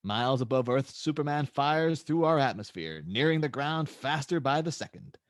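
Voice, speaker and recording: A man's voice: medium pitch, animated, normal speed, dry recording. Man narrating a script, very dry sound. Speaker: narrator reading stage directions. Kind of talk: dramatic script narration at a table read.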